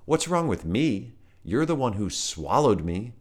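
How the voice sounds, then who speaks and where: A jittery young firefly boy, tumbling over his words and stammering when cornered, pitched noticeably above a deep-voiced storyteller's own tone, as a man puts him on. He sounds like a storybook hero who is always in trouble. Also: animated, close to an everyday voice. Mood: flustered. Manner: stammering, rushed. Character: anxious young male firefly outcast.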